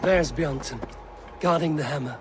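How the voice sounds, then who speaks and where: An actor playing a stern, loyal Viking warrior in a video game, speaking in a blunt, firm and terse way. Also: male voice, on the high side, moderate pace, over music, lightly acted. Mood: resolute. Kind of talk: dramatic game dialogue. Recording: outdoor game ambience behind the voice.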